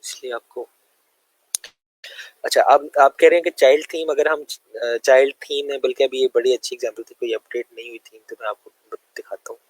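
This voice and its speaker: A man's voice: medium pitch, steady tone, fairly quick, dry recording, rough recording. A man with a sore throat, giving a loose, conversational screen-share lesson full of fillers. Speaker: web development tutor.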